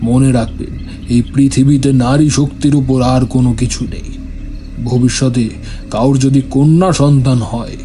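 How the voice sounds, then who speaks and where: Man acting a grave, dark-voiced village priest. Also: medium pitch, steady tone, unhurried, faint music behind, lightly acted. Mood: grave, reproving. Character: grave, reproving village priest and occult healer. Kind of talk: audio horror drama acting.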